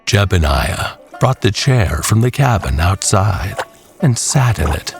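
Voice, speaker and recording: A man narrating a scripted horror western tale in a measured, deliberate way, with music behind him. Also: deep voice, expressive, gravelly.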